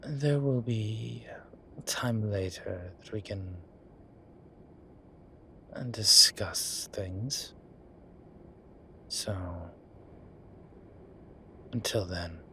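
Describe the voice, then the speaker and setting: A man voicing a flirtatious demon professor who keeps up a genteel, professional front, speaking softly and often in a whisper. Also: lowish voice, a little hiss or hum, full character voice. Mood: hushed. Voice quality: soft, often whispered, unhurried. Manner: teasing, measured, genteel. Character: flirtatious male demon professor, composed, teasing. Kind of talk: audio roleplay.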